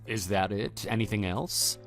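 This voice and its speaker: A man playing a level-headed, skeptical husband with a measured, hesitant delivery in an audio drama. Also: lowish voice, fairly even intonation, gravelly, faint music behind. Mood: skeptical, controlled. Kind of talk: scripted texting-story radio drama.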